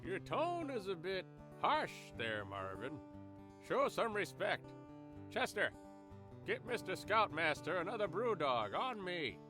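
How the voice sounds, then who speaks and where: A man's voice: high voice, huge pitch swings, measured pace, music in the background, full character voice. A man playing a smug, corrupt small-town lawman with a folksy, taunting drawl in an audio drama. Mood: smug, lazy. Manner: drawling, folksy, taunting, calls himself by name.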